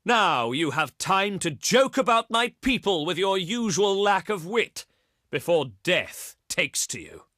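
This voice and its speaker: An actor playing a proud, cultured, wry ancient Greek diplomat in a video game, speaking in formal, flowery and pompous oratory. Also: male voice, high-pitched, sing-song, measured pace, bright, forceful tone, dry recording, clean studio sound, exaggerated voice. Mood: wry, wounded pride. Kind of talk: theatrical game diplomacy voice lines.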